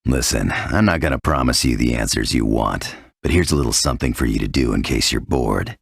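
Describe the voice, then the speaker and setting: An actor playing a cynical, hard-bitten undercover tough guy in a video game, gravelly and husky, with a blunt, sardonic delivery. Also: extremely low pitch, expressive, a little fast, no echo, clean studio sound, heavily acted. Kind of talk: video game cutscene acting.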